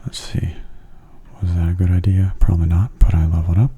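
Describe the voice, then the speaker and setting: A man murmurs gameplay commentary in a soft ASMR style. His voice is very mellow and husky, with an even, narrow melody. He stays hushed and often slips into a whisper. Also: low voice, brisk. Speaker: video game streamer.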